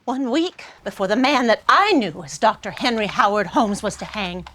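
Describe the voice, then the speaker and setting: Bitter Victorian widow, lively pitch. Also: female voice, normal speed. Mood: bitter, incredulous. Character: bitter, wronged Victorian widow recounting a killer's plea. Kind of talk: theatrical stage acting. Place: theater stage before an audience.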